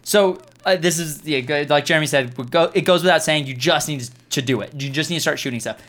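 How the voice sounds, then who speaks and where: Male host, higher-pitched voice, earnest and emphatic, reading questions and giving advice in a casual chat. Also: fast talker, a bit bright. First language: Farsi and English speaker. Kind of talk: casual group Q&A chat giving advice to beginner video makers.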